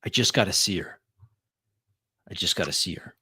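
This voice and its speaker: A man narrating his character's actions in a tabletop roleplay, in measured, dramatic short phrases. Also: sits low, pushed and bright, husky, no room sound, studio quality. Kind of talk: immersive first-person roleplay narration.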